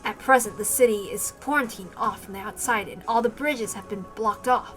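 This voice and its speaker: A woman's voice: on the high side, fairly quick, faint music behind, lightly acted. A woman voicing a grave, urgent military man in a dramatic visual novel read-aloud.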